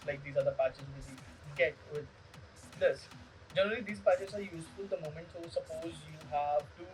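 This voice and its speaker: A young man with an Indian accent giving a casual product demo in a halting, informal way. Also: on the high side, a little mellow. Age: young adult. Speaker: product reviewer. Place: small indoor room. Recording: distant mic, music underneath.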